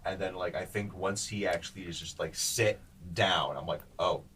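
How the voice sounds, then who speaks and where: Deep-voiced man over faint background noise. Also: fairly even intonation, moderate pace, a bit bright. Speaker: podcast co-host. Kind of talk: casual podcast chat.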